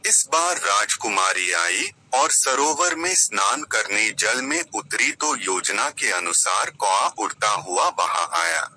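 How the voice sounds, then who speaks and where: A man narrating a scripted children's moral story in a deliberate, expressive storybook manner. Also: lowish voice, poor audio.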